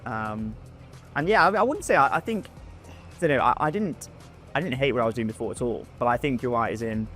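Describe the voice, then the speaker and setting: A man, a former lawyer turned founder, speaking casually with halting starts. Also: mid-range voice, animated, a bit bright, soft background music. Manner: halting starts, repeats words. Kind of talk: casual panel discussion.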